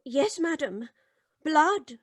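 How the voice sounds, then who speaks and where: Ominous, formal housekeeper. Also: female voice, high voice, very animated, very slow, no echo, studio quality, full character voice. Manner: formal, foreboding. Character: solemn, ominous English housekeeper. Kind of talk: old-time radio drama acting. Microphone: studio mic, video call.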